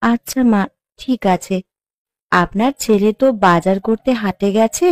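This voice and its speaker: A woman's voice: mid-range voice, measured pace, no echo, lightly acted. A woman voicing a dutiful, polite young village bride in a cartoon, deferential in manner. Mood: obliging. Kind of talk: Bengali cartoon voice acting.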